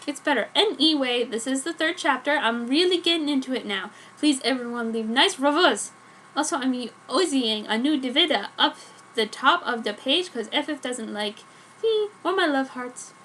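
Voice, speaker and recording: Woman doing a high, petulant teenage fanfic author. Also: lively intonation, normal speed, fairly bright tone, low-quality recording. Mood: petulant, excitable. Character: petulant, defensive teenage amateur fan-fiction author. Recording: noticeable background noise.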